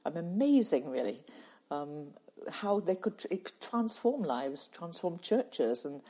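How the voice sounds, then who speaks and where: A woman's voice: on the low side, very animated, measured pace, mellow, breathy edge. An older woman from the UK, a guest in an interview, telling stories in a reflective way and laughing easily. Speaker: guest, former charity president. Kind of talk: conversational interview storytelling. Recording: slightly muffled, lo-fi audio.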